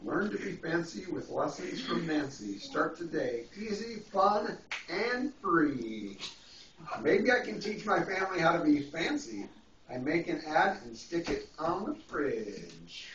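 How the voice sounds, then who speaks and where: A man's voice: on the high side, very animated, unhurried, fairly bright tone, recorded from a distance. A man reading a picture book to a small child, playfully drawing out words and adding asides. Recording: echoey, noisy, muffled home video from afar.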